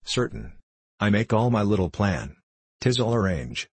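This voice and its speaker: A man's voice: fairly low pitch, sing-song, unhurried, darkish tone, slightly rough, no room sound, exaggerated voice. A man voicing a young French gambler in an audiobook, with a French accent and broken English, courtly, taunting and self-assured. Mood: smoothly insistent. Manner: broken English, courtly, theatrical chuckling asides. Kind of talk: audiobook character voice.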